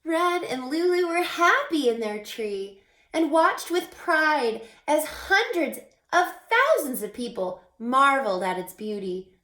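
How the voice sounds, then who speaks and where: A woman teacher reading a children's storybook aloud in an expressive, teacherly way, pausing to put questions to young listeners. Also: high-pitched. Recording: echoey, roomy sound.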